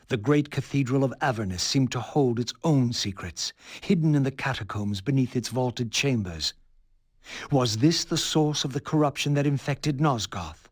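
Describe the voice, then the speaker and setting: An actor playing a brooding undead antihero in a video game, formal and measured, with a husky voice. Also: male voice, medium pitch, no room sound, clean studio sound. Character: brooding undead male antihero recounting his quest. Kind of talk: dramatic scripted game narration.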